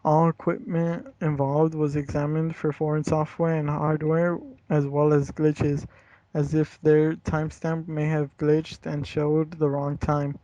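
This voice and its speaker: A man's voice: on the high side, little pitch movement, measured pace, dark tone. A young man reading a horror story aloud and chatting casually, with slangy, profane language. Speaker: story reader. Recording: dull lo-fi home recording, roomy.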